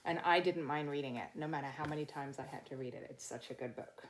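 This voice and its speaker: A woman's voice: low-pitched, quick pace, background noise. A middle-aged woman reading a picture book aloud to children, talking simply and directly to her young listeners. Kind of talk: read-aloud storytime for children.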